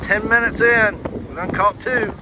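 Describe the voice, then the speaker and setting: A man's voice: high voice, fairly even intonation, a little slow. Man chatting over roaring beach wind. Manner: easygoing, chatty, drawling remarks. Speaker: vlogger filming a fishing trip. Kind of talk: casual narration behind the camera. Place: windy ocean beach outdoors. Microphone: handheld phone camera. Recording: wind-buffeted outdoor phone audio. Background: loud wind and crashing surf.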